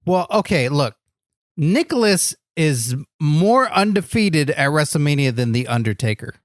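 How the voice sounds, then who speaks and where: A man's voice: fairly high pitch, very animated, measured pace, no room sound, clean studio sound. A man playing a naive, earnest wrestler sidekick on a comedy podcast, making absurd points with a straight face. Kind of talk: improvised comedy podcast chat.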